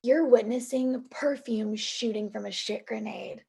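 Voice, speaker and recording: A woman's voice: fairly high pitch, unhurried, vocal fry, mellow, husky, heavily acted. A woman playing a sardonic, polished executive assistant with a cutting, teasing manner. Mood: wry.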